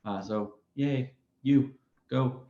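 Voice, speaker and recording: A man moderating an online showcase who introduces the next presenter, speaking haltingly with many fillers over a video call. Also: mid-range voice. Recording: echoey, muffled video call audio.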